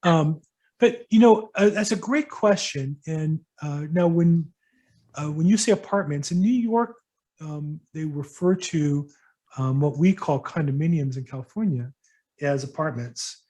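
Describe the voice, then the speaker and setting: A middle-aged man, a real estate broker, answering questions at a workshop in a casual, halting way with many ums and restarts. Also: fairly high pitch, dark tone. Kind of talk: casual advice to attendees.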